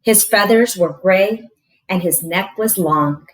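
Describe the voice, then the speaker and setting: A woman reading a storybook aloud to children as a teacher, expressive and explaining things simply. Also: medium pitch, takes it slowly, poor audio. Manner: expressive, explains to young listeners.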